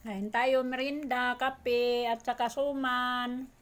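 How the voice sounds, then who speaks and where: A woman's voice: on the high side, fairly even intonation, takes it slowly, fairly bright tone. A middle-aged Filipino woman chatting casually to viewers in short, repeated phrases while eating, recorded on a phone in a room at home. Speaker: vlogger. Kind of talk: casual mukbang chat. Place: small indoor room at home. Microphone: phone at arm's length.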